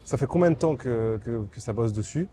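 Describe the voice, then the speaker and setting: A man's voice: mid-range voice, expressive, fairly quick, mellow, slight background noise. A man interviewing a guest in a casual, chatty and enthusiastic way.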